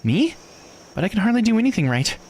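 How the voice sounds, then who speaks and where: Man voicing a high, meek young woman. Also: very animated, fast talker, a little mellow, slight background noise. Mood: uncertain. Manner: hesitant, polite, self-effacing. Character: meek, apologetic young amnesiac woman, not human.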